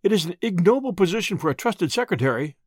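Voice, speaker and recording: A man's voice: high-pitched, big pitch swings, fast talker, no room sound, studio quality. A man voicing an anxious, deferential secretary in an audiobook story, earnest, apologetic and urgent. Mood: earnest confiding.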